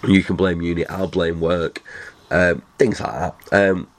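A man with a very deep voice hosts a casual podcast. His voice is nasal from a cold. He rambles and restarts his sentences. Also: flat delivery, measured pace. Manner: rambling, chatty, many restarts. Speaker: podcast host. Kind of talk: casual chat.

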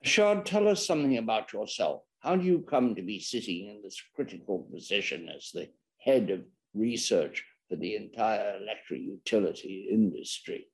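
Male interviewer, gravelly, direct and probing. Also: lowish voice, big pitch swings, moderate pace, rough recording. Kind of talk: interview questions.

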